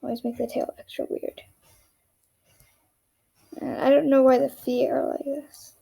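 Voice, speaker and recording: A young woman narrating a casual drawing tutorial in a monotone, rambling way, often dropping to a whisper as she works. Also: high voice, measured pace, gravelly, husky. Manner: monotone, rambling, self-deprecating.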